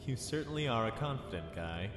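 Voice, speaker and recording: A man playing a reserved but friendly military lieutenant in a sci-fi drama, talking in a conversational, gently probing way. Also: mid-range voice, expressive, a little fast, background noise, lightly acted. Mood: curious, probing. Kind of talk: fan sci-fi drama acting. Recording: echoey reverb with music underneath.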